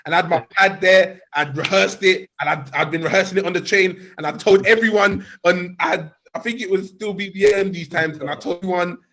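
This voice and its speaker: A young man hosting a podcast, telling a story in a casual, animated way with frequent restarts. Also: high voice, a little fast, few pauses. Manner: animated anecdote, many restarts.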